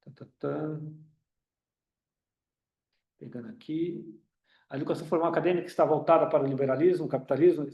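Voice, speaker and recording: Brazilian-accented man, hesitant webinar speaker, mellow slightly high voice, roomy echo. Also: a little fast, long pauses. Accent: Brazilian Portuguese. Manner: hesitant, many fillers and restarts. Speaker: union director speaking on a webinar. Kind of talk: online talk with audience questions. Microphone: computer mic on a video call.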